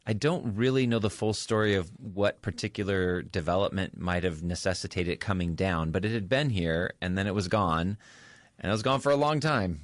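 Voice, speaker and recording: A man's voice: low-pitched, moderate pace, dry recording, clean studio sound. A man hosting a conversational interview, affirming his guest, recapping and prompting. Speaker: podcast host, interviewer.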